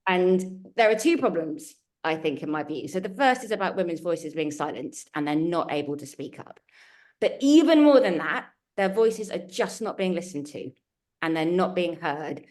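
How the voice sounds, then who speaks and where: A woman's voice: on the low side, lively intonation, normal speed, a little mellow. Earnest woman on a webinar panel. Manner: earnest, emphatic, builds lists. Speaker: panelist from a culture change consultancy. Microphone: video call.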